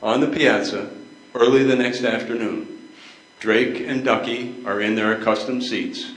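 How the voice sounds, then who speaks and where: Slow older male stage narrator. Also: medium pitch, steady tone, fairly bright tone. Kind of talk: reading stage directions. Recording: echoey room, some background noise.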